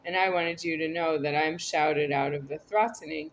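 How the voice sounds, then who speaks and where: A woman's voice: low voice, fairly even intonation, moderate pace, a little hiss or hum, poor audio. A woman talking to camera in a meandering, repetitive, earnest monologue. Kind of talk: rambling spoken monologue to camera.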